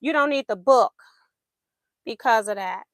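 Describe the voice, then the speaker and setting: Chatty high-voiced woman quilting live. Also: a little slow, dry recording, clean studio sound. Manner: chatty, laughs often, reads viewer comments aloud. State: voice going in and out. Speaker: quilting livestream host. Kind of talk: casual livestream chat while sewing.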